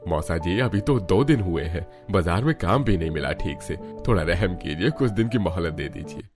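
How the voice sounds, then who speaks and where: A man's voice: deep voice, animated, moderate pace, over music. A man playing a weary, meek older father-in-law in a cartoon story, pleading and doubtful. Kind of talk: Hindi cartoon story voice acting.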